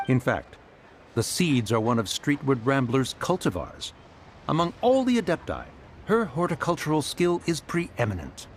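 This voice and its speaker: A man's voice: medium pitch, sing-song, moderate pace, a little hiss or hum, natural voice. Man voicing a stately ancient mountain spirit. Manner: stately, archaic, sighing. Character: proud old male mountain adeptus in human form. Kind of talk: game voice acting.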